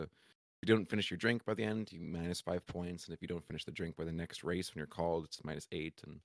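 Brief: young male podcast co-host, hoarse and cracking, deep low register, dry close sound, rambling delivery. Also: no echo. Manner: rambling storytelling full of fillers. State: hoarse, raspy and cracky from drinking. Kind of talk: casual chat.

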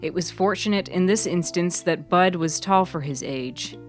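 A woman narrating a scripted serial fiction story for a podcast, with piano music behind her. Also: low-pitched.

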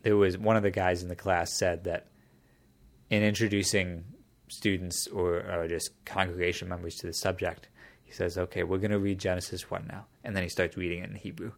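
A man's voice: low-pitched, little pitch movement, fairly quick, creaky. A man in casual conversation, explaining a topic at length in a thoughtful way, often restarting his sentences.

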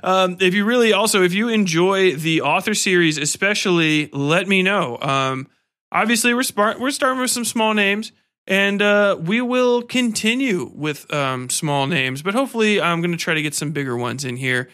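A man's voice: high voice, expressive, normal speed, sharp tone. A man hosting a podcast in a casual, informal, slangy way. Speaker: podcast host interviewing an author.

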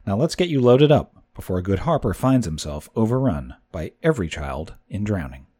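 A man's voice: on the low side, big pitch swings, normal speed. Man doing a wry, patient storybook mother in close to his own voice. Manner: wry, gently scolding.

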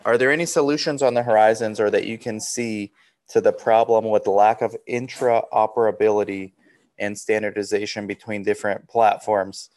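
A man's voice: lowish voice, fairly even intonation, normal speed, a little mellow. A man from Romania hosting a webinar, asking questions in a casual, friendly way. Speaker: webinar host. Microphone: video call.

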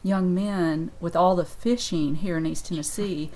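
A woman's voice: fairly low pitch, a little slow, a little mellow. A woman hosting an interview in a conversational style, encouraging and given to folksy exclamations. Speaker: radio host and interviewer.

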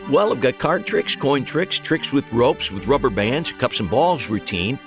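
A man's voice: medium pitch, expressive, over music, pitched above the performer's own voice. Guy doing a cheery stage magician in an audio story, reassuring patter, voice bouncing around. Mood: cheerful patter. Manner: upbeat patter, deliberately cheerful. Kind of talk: acted dialogue in an audio short story.

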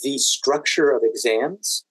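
A man answering interview questions in a measured, careful way, pausing mid-sentence as he explains. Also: mid-range voice, a bit bright, husky, no echo, poor audio. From United States, Washington DC area. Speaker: podcast guest, standardized patient and exam event administrator.

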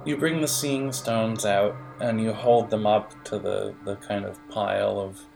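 A man improvising narration as game master in a halting, digressive manner, with music behind the voice. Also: lowish voice, steady tone, creaky, darkish tone, rough recording.

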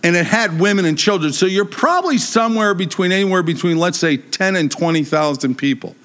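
High, animated preacher with a big voice. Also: male voice, brisk. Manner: animated, conversational preaching with humor. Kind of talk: sermon.

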